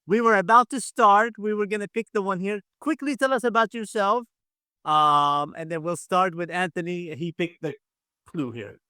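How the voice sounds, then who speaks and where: A man's voice: sits high, normal speed, sharp tone, clean tone, no room sound, clean studio sound. A man casually hosting a quiz game on a video call, encouraging and often repeating himself. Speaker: quiz show host.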